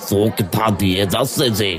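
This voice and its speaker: A man voicing a kindly older village holy man in a cartoon, speaking in an expressive, admiring way. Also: fairly low pitch, normal speed, faint music behind, a faint crowd, close to an everyday voice. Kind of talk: Bengali cartoon voice acting.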